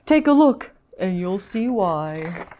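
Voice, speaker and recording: A woman's voice: medium pitch, animated, a little mellow, a little hiss or hum. Woman voicing a glum, mopey storybook fish man. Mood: glum, resigned. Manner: sing-song rhymed lament with drawn-out blubs. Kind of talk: children's picture book read-aloud.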